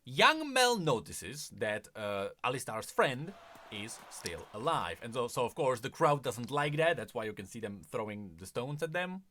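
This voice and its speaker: A man's voice: mid-range voice, very animated, normal speed, pushed and bright. A man giving conversational explainer commentary, with wry asides along the way. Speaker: video essayist.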